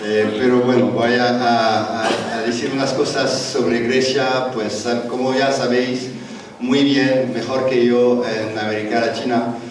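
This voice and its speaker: A man's voice: mid-range voice, little pitch movement, measured pace, slight background noise. A man with a French accent speaking Spanish at a conference panel, hesitant, with many fillers and restarts. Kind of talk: conference talk. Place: large conference hall. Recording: echoey hall, distant mic.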